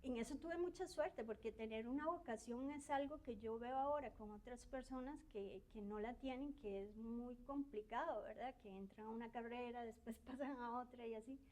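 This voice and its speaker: Middle-aged academic woman from the southern cone, shy interviewee, mellow higher voice. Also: moderate pace, few pauses. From Argentina and Uruguay. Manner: shy, soft-spoken, tag questions and hesitations. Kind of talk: reflective interview.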